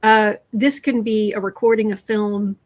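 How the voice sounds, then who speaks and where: A woman hosting a political tarot livestream who reads out cards and viewer comments in a chatty, sarcastic way, often restarting her sentences and clearing her throat. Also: mid-range voice, fairly even intonation, a little slow, a little mellow. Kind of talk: casual livestream commentary while reading cards and chat.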